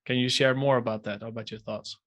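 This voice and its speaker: A man's voice: medium pitch, fairly even intonation, quick pace, a bit bright. A man hosting a podcast, reading the intro and asking questions in a friendly, upbeat way. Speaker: podcast host.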